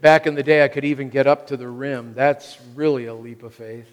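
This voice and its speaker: A man's voice: mid-range voice, steady tone, fairly quick. An American man in his sixties preaching a sermon in emphatic short phrases, often repeating them for weight. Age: 60s. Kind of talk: sermon to a congregation. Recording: long reverb of a large hall.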